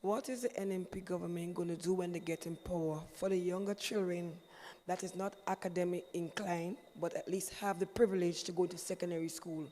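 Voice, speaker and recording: A hoarse woman asking a question from the floor of a town hall meeting in a plain, conversational way. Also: on the low side, fairly even intonation, a little fast, dark tone. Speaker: audience member asking a question. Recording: reverberant hall with faint room noise.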